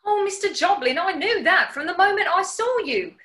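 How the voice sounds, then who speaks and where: A woman playing a plucky, polite, sharp-witted housemaid in a radio comedy, chirpy and frank. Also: very high voice, expressive, moderate pace. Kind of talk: 1940s radio comedy acting.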